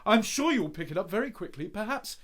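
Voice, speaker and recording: Man acting a lively, charming young poet. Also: high voice, a little fast, exaggerated voice. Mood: gracious. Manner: courteous, theatrical, flowery. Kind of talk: radio play period acting.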